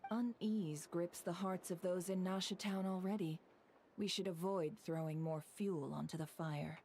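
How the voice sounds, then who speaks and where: Game role, female actor: shrewd, measured female tactician, slightly low voice, precise analytical delivery, calm and discreet. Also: slight background noise, close to an everyday voice. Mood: measured warning. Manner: deliberate, articulate, analytical. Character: shrewd, composed woman strategist, measured and discreet. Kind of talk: scripted game dialogue.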